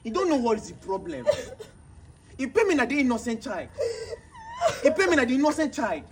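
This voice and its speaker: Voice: high-pitched, very animated, a little fast, darkish tone, slight background noise. An actor playing an onlooker who pleads with the husband in pidgin. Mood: scolding.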